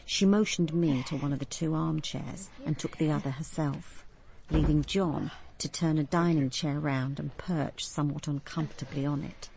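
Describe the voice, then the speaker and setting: An actress playing a composed, observant police detective who narrates and questions with measured restraint in a radio drama. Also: female voice, sits low, gravelly, noisy background.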